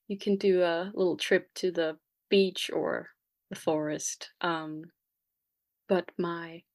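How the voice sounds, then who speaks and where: A young woman with a Danish accent, a guest talking casually in an interview, often pausing with fillers and restarting her sentences. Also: lowish voice. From Denmark. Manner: hesitant, many fillers and restarts. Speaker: guest artist. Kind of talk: casual conversation.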